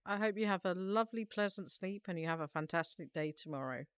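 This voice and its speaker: A woman's voice: lowish voice, lively intonation, fairly quick, clean tone, no echo, clean studio sound. A woman streaming a game, chatting playfully to viewers and reading dialogue aloud over music.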